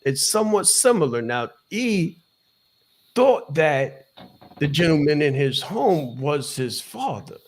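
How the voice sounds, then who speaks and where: Emphatic high-voiced male interviewer. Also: very animated, slow pace. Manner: emphatic, repeats phrases for effect. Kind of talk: casual interview, motivational asides.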